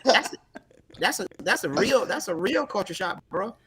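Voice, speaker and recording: A man's voice: high voice, sing-song, moderate pace, over a noisy crowd. A man from Tuscaloosa co-hosting a podcast, joking and teasing the guest and repeating words as he goes. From Tuscaloosa, Alabama. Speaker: podcast co-host. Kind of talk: casual banter.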